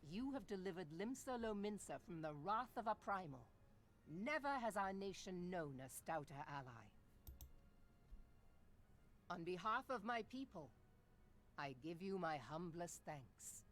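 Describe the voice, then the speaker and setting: A stern female admiral from a video game, with a slightly low, highly expressive voice. Her speech is formal and archaic, stately and measured in its wording. There is noise behind her. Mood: solemn, grateful. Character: stern, grateful female admiral of a seafaring nation. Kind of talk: video game cutscene acting.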